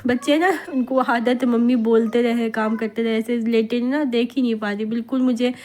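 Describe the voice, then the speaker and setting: A hoarse woman with a slightly high voice narrates her daily home vlog. She is in her thirties and talks in a loose, chatty way, wandering from point to point and mixing in English words as she goes. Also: steady tone, normal speed, darkish tone, slight background noise. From India. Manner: chatty, rambling, mixes English words into Hindi. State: hoarse with a cold. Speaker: vlogger. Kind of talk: casual voice-over narration.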